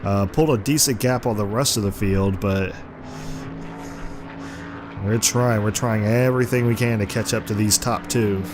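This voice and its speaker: A man's voice: lowish voice, moderate pace, long pauses. Congested man calling a racing game. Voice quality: rough, congested voice. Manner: excited play-by-play, rising sharply at crashes. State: hoarse and congested from the flu. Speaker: gaming commentator. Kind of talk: casual racing game commentary. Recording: game music and car noise underneath. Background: racing game engine noise and music.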